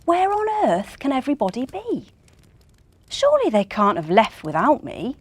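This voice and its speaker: An anxious young reindeer from a children's story, played by a woman reading aloud, pitched high and lifting eagerly up and down. He is polite, earnest and pleading, a newcomer who badly wants help. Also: huge pitch swings, normal speed, breathy edge, no echo, exaggerated voice. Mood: puzzled.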